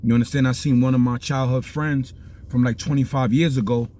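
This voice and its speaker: Bronx man with a low, mellow voice. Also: steady tone, fairly quick, a little hiss or hum. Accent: New York (Bronx). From South Bronx, New York. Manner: rambling, keeps asking "you understand?". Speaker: vlogger giving life advice. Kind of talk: casual street talk.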